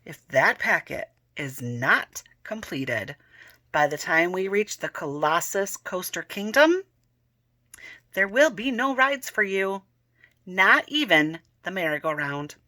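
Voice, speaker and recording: A woman's voice: lowish voice, big pitch swings, slow, pushed and bright, heavily acted. A woman voicing a serious middle-aged father laying down family rules in a read-aloud story. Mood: stern.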